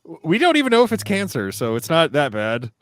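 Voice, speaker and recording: A man co-hosting a rewatch show, tossing out quick wisecracks and references in casual chat. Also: a little high, huge pitch swings, a bit bright, no echo. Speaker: rewatch podcast co-host.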